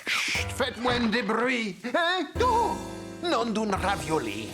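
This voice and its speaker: A man voicing an excitable Italian chef in a cartoon, with an Italian accent and a theatrical, exclamatory, sing-song way of talking. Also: very high-pitched, slow, loud music behind the voice, exaggerated voice. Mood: flustered. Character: excitable male Italian chef, cheerful and fussy.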